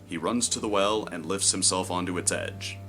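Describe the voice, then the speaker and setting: A man reading scripted story narration in a measured, descriptive way. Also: low voice, pushed and bright, soft background music.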